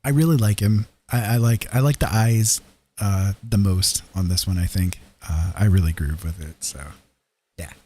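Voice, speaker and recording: A man hosting a livestream, chatting casually in a halting, rambling way. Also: lowish voice, warm tone. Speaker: livestream host.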